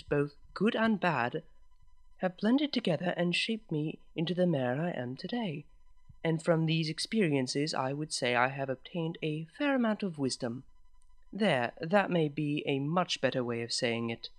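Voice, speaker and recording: A reader telling a fan story aloud in the first person, in a formal, measured way. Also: mid-range voice.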